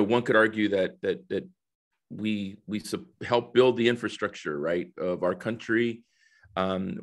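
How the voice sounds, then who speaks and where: A man in his fifties from El Salvador, raised in the United States, giving conversational interview answers in a halting, explanatory way. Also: lowish voice. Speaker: guest, corporate diversity executive. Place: indoor office, video call. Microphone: headset on a video call.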